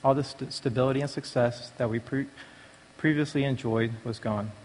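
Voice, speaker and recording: A man's voice: medium pitch, steady tone, moderate pace, a little mellow, a little hiss or hum. Man speaking in a reverberant hall. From born in Taiwan, raised in the United States from age five. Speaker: speaker giving a testimony at a church recovery meeting. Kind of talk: prepared personal testimony. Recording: long reverberant hall echo.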